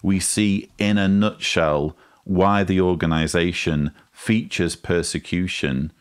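Very low-pitched man giving commentary. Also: steady tone, slow pace, clean tone. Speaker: host, commentator. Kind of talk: critical video commentary to camera. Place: small indoor room with bookshelves. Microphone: studio mic on boom arm, close.

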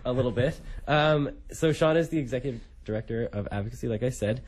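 Young male radio host, medium pitch. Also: quick pace, a little mellow. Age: young adult. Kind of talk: casual radio interview. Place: radio studio.

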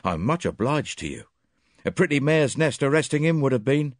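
A man voicing a brisk, plain-spoken English police inspector with a hearty manner. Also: medium pitch, sing-song, no room sound, studio quality, voice pushed up.

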